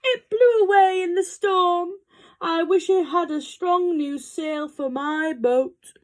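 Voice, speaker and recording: A woman's voice: extremely high pitch, slow pace, voice pushed up. A woman voicing a forlorn, bleating goat in a children's storybook. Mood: plaintive. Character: forlorn goat who lost its sail.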